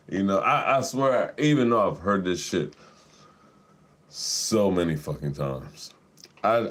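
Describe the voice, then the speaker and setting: A man with a deep voice, hosting a livestream in casual chat, laid-back and profane, laughing often. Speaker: livestream host.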